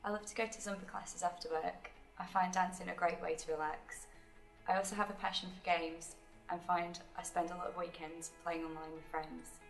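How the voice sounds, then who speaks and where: Young woman, from England, matter-of-fact, lowish voice, roomy sound. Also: flat delivery, moderate pace, faint music behind. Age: 20s. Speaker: employee sharing her story. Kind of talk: scripted testimonial. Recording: roomy video recording.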